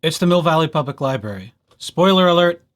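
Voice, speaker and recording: A man's voice: on the high side, animated, fairly quick, a bit bright. A man presenting a casual, jokey library tutorial, speaking straight to viewers and playing out little imagined exchanges. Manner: jokey, playful, addressing viewers directly. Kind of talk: casual scripted tutorial narration.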